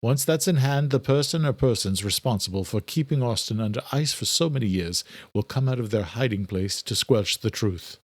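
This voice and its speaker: Audiobook scientist for a male narrator: wry, determined, expressive doctor, recorded very dry. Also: medium pitch, normal speed, hardly any pauses, dry recording, close to an everyday voice.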